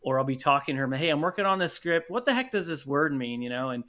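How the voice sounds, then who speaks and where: A man's voice: fairly high pitch, expressive, quick pace, a bit bright. A man chatting casually as a podcast guest, telling anecdotes about his work. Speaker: podcast guest, audio drama producer.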